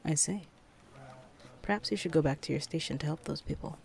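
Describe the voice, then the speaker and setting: A woman's voice: low-pitched, fairly quick, creaky, darkish tone. A woman playing a stern, suspicious, bossy office enforcer in an audio roleplay, speaking curtly and with command. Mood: curt, cold. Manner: curt, commanding, guarded.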